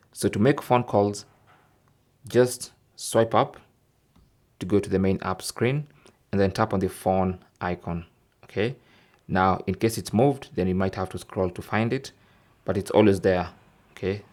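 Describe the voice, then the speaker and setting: A man with a low-pitched voice hosts an instructional tech tutorial. He explains things step by step, often checking that the listener is following along. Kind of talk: instructional tech tip narration.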